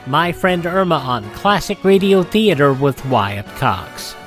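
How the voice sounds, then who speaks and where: High-voiced male radio host. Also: lively intonation, a little slow, a bit bright, soft background music. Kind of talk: radio presenting and personal ad reads.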